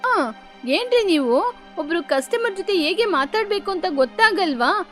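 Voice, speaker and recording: A woman's voice: extremely high pitch, animated, normal speed, a bit bright. A woman voicing an irate female customer who accuses the pickle sellers. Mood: indignant. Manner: accusing, indignant. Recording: faint music behind.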